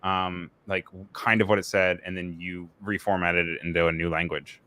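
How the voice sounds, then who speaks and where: A man's voice: sits low, level tone, moderate pace, sharp tone. An American man hosting a live-streamed talk show, asking questions in an easygoing way with many fillers. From United States.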